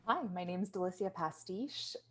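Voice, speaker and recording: Low-voiced woman with faint background noise. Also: lively intonation, moderate pace. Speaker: actor introducing her part. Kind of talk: table read introduction.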